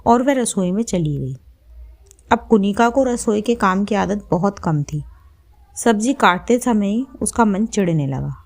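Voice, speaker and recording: A woman's voice: medium pitch, expressive, unhurried, a little mellow. A woman storyteller reading a scripted story as its narrator.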